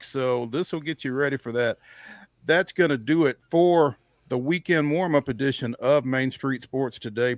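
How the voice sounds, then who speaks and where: A man co-hosting a sports show, talking casually through his game picks in a measured, halting way as he thinks aloud. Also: medium pitch, dry recording, clean studio sound.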